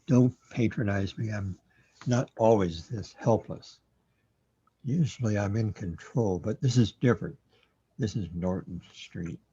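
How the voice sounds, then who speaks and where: Man playing a deep, dark-voiced brooding paranoid guy. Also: unhurried, breathy edge, no room sound. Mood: confused, defensive. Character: the Bornless One, confused paranoid man living inside a computer chip. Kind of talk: audio drama monologue and dialogue. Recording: dull, lo-fi, dry close recording.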